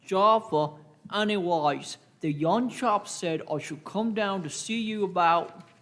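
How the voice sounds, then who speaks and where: A man acting a dithering complainant in a radio comedy-drama. The voice is high for a man and very slow. He sounds plaintive and aggrieved, repeating himself hesitantly. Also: a bit bright, heavily acted. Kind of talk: live radio-play acting.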